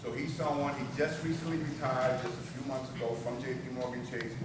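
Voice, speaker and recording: A man's voice: medium pitch, flat delivery, brisk. Male moderator, informal and chatty, hesitant phrasing, distant mic in a heavily reverberant, noisy room. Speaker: event host, moderator. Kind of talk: informal live introduction and moderating. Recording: echoey room from far off, clear noise.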